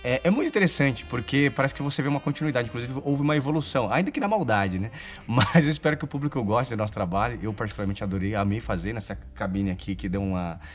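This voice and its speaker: A man's voice: mid-range voice, fast. A Brazilian man, a voice actor, chatting informally and with some slang in an interview. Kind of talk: casual chat to camera. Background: background music.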